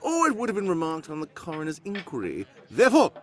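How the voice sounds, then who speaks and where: Theatrical, swooping-voiced confident detective. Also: male voice, sits high, wildly swooping pitch, fast talker. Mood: grave, dramatic. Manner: theatrical, declarative, self-assured. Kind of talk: live old-time radio mystery acting, comedic.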